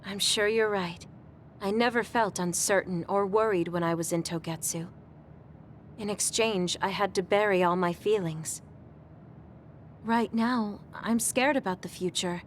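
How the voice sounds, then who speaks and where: A woman playing a sweet, guileless young woman in a video game, speaking gently and politely. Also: medium pitch, measured pace, a little hiss or hum, close to an everyday voice. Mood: worried, sincere. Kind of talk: English video game voice acting. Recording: faint music behind the voice.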